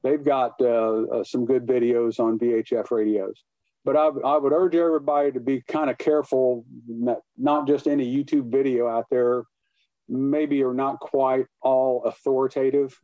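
A man's voice: mid-range voice, steady tone, moderate pace. Man in an echoey, muffled recording. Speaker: guest expert, boating safety educator. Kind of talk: informal explanatory interview talk. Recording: muffled, roomy, echoey sound.

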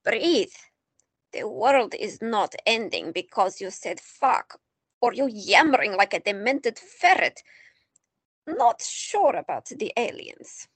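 A woman playing an older Greek-born artist and grandmother, calm and dryly teasing in an audio drama. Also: fairly high pitch, slow, slightly rough, no room sound, heavily acted. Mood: wry. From Greece.

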